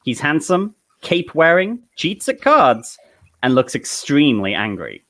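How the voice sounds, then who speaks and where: A man's voice: on the high side, wildly swooping pitch, measured pace, pushed and bright. A man narrating stage directions at a comedic table read of a film script.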